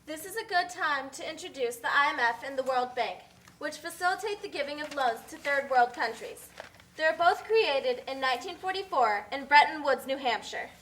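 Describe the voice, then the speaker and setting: Woman as puppet show narrator reading out facts, high bright voice, informative. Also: animated, moderate pace, a little hiss or hum. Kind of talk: scripted explanatory narration.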